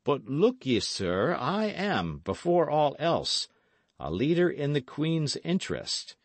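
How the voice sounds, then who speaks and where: A man's voice: on the high side, big pitch swings, slow, no room sound, voice pushed up, full character voice. A man voicing a stern but fair-minded English earl in an audiobook, with formal, weighty, archaic speech. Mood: measured, approving.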